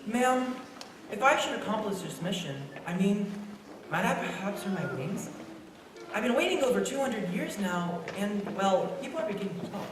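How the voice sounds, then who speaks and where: An earnest, eager angel-in-training acted by a woman for a radio play. The voice is excitable and swings widely in pitch, heard from far off on a noisy, very echoey stage. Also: moderate pace, natural voice. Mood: eager, deferential. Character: eager, naive two-hundred-year-old apprentice angel. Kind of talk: old-time radio play acting.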